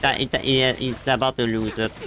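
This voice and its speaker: A man's voice: medium pitch, flat delivery, moderate pace, poor audio. A man livestreaming a video game with casual, sweary commentary. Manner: casual swearing, muttering exasperation.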